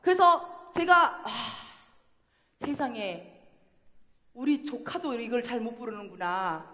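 Woman, 30s, Jeolla accent, pansori teacher; high bright tone, slow deliberate delivery, long concert-hall reverb. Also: sing-song. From Jeolla, Korea. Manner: playful, laughing often, coaching the audience. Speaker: pansori singer teaching a class. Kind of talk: lively workshop lesson with sung demonstrations.

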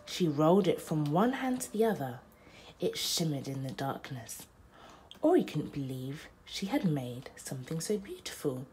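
A young woman reads a bedtime story aloud in a lowish, mellow voice. She takes her time with deliberate, expressive phrasing for a child listener. There is faint noise behind her. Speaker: mother reading a picture book aloud.

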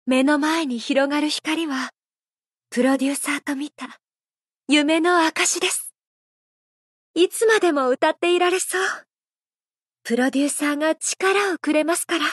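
A woman voicing a gentle, elegant, playfully affectionate idol singer in a mobile game, speaking in a soft, measured, heartfelt way. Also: high-pitched, no echo, clean studio sound, lightly acted. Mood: sincere, uplifted.

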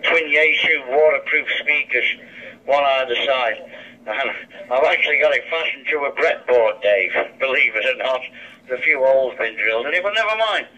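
Man on a dull, bright-edged radio link. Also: mid-range voice, expressive, moderate pace. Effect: heard through a handheld radio. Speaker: amateur radio operator. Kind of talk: casual two-way radio chat. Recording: dull lo-fi two-way radio speaker.

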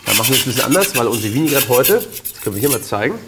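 A man's voice: mid-range voice, very fast, heavy background noise. A man in his thirties hosting a cooking show, chatting casually with a guest and talking straight to viewers, on a lapel mic in a studio kitchen. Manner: chatty, lively, addresses viewers directly. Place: studio kitchen set, indoors.

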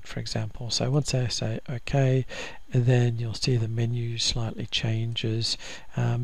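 A man walking through a software install in a casual screen-recorded tutorial, with frequent ums and restarts. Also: mid-range voice, gravelly. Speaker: software trainer.

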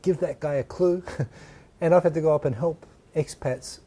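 Rich: A middle-aged man hosting a relaxed studio chat, his voice very dark and mellow with a husky edge. He jokes and riffs freely, seated on a sofa with a clip-on mic. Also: on the high side. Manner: lively, joking, quick asides. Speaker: host. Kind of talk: casual studio interview. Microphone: lapel mic.

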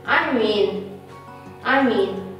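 A woman's voice: medium pitch, lively intonation, drawn-out words, fairly bright tone. A woman voicing a hungry, curious young boy puppet in a children's puppet show, with exaggerated singsong exclamations. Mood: musing. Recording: echoey room, distant mic, music behind.

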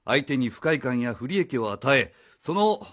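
A man's voice: medium pitch, big pitch swings, measured pace, fairly bright tone. An actor playing a brash, good-hearted meddling man in a radio drama, casual, pushy and given to theatrical lecturing. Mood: pompous. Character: brash, meddling, good-hearted middle-class stranger uncle. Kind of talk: Japanese radio drama acting.

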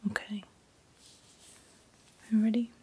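A middle-aged woman speaking in a gentle, breathy whisper in short soft phrases, as a doctor in an ASMR roleplay. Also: medium pitch, flat delivery, a little slow, slight background noise. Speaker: ASMR performer playing a doctor.

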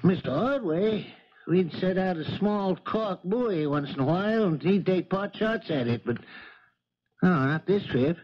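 A man's voice: sits high, expressive, measured pace. A man acting an old charter boat captain in a 1970s radio drama, folksy and plain-spoken, shaken and indignant. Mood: bitter, defensive. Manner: folksy, ungrammatical working-man speech. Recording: muffled vintage radio broadcast recording.

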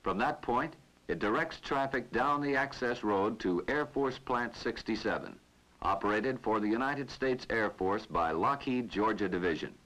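A man's voice: medium pitch, normal speed, a bit bright, poor audio. A man giving formal narration in an old documentary film.

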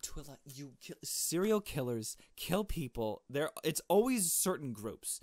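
A man's voice: fairly high pitch, very animated, measured pace, darkish tone, no echo, clean studio sound. A man reading visual novel text aloud and adding informal, joking commentary of his own.

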